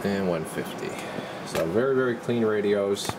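A man calmly demonstrating and testing a radio in his workshop, talking in a relaxed, halting way as he works. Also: on the low side, background noise. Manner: relaxed, halting, talks while working. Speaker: radio repair technician.

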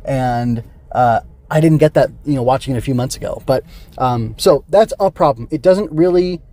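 A man's voice: medium pitch, normal speed, warm tone. A middle-aged man hosting a solo podcast, talking casually and rambling with digressions. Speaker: podcast host. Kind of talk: casual solo review chat.

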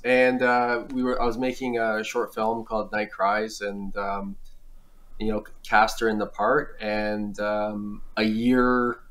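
Low-voiced man telling stories, relaxed. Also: takes it slowly. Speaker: film director, guest. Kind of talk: casual interview chat.

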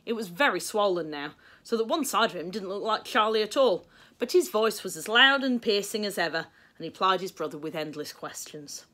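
Lively, bright-voiced English woman reading aloud. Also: mid-range voice, fairly quick. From England. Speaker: storyteller reading a children's book aloud. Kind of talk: expressive scripted narration for children. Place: small book-lined room indoors.

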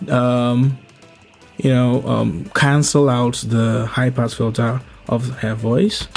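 A young man hosts a tutorial in a mid-pitched voice. He explains things step by step in a measured manner. Soft music plays underneath. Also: level tone, creaky. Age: young adult. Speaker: host of a video editing tutorial. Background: background music.

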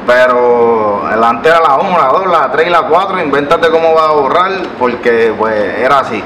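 A Puerto Rican man in his thirties tells his story slowly, stopping often and starting his sentences over. He speaks at a mid pitch. His voice carries the echo of a studio set and reaches a camera mic placed some distance away, with a steady hiss of noise behind it. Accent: Puerto Rican Spanish. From Puerto Rico. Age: 30s. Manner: rambling, many hesitations and restarts. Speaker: barber and business owner, guest. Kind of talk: relaxed storytelling interview answers. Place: living-room style studio set. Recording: roomy sound from a distant mic with background noise.

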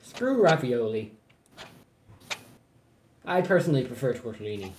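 A woman's voice: rumbling low, sing-song, a little slow, slight background noise. A woman chatting casually and joking dryly as she co-hosts a gameplay video. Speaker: gaming video co-host and player. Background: loud video game music.

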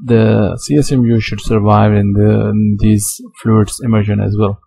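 Gravelly low-voiced male lecturer. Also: flat delivery, warm tone, no room sound, faint music behind. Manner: halting, frequent restarts and fillers. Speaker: aviation instructor. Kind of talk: slide lecture. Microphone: voice-over on slides.

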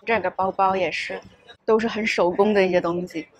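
A woman's voice: medium pitch, fairly even intonation, brisk. A woman in her thirties from Shanghai with a Shanghai Mandarin accent, chatting upbeat and straight to the viewer in a casual vlog, recorded on a clip-on mic in a garden café. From Shanghai, China. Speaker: travel vlogger. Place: garden and wooden café, mostly outdoors. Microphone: small clip-on mic on the shirt. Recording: soft music underneath.

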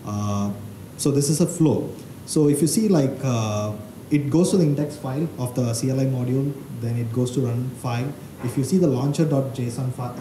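Casting: hesitant man in his thirties explaining code at a meetup, echoey room, audible background noise. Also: mid-range voice. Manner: hesitant, many uhs and likes. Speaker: conference speaker. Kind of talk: technical meetup talk. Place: meeting room with audience. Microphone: handheld mic. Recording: roomy hall with background noise.

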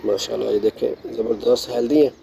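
A middle-aged man giving a relaxed, chatty tour of his rooftop garden, mixing English words into his speech, recorded outdoors on a phone. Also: mid-range voice, brisk, creaky, a little hiss or hum. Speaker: gardening vlogger. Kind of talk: casual show-and-tell narration.

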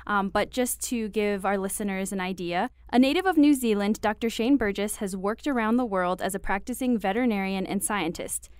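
A woman co-hosting a business podcast, reading a guest's biography and leading an interview in a friendly, even way. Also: medium pitch, a little fast, hardly any pauses, clear, resonant tone, dry recording. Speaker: podcast co-host.

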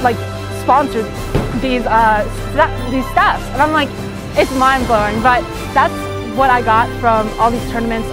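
A teenage girl talking casually about bowling in an upbeat, chatty way with lots of fillers, interviewed in a bowling alley. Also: fairly high pitch. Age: teens. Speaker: high school bowler. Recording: music playing underneath.

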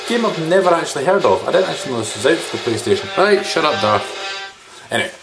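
A man's voice: fairly high pitch, sing-song, rapid-fire, gravelly, over music. A man in his thirties giving a rambling, informal, mildly sweary chat to camera as a game collector in a small living room. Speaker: video game collector vlogger. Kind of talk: casual chat showing game pickups to camera. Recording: background noise, room ambience.

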